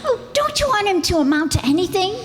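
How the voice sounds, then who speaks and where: Woman playing a brash high-pitched young girlfriend. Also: very animated, quick pace. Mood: pleading, insistent. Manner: breezy, slangy, forthright. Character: brash, perky young girlfriend, outspoken and pleading. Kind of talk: old-time radio drama acting. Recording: echoey hall stage sound.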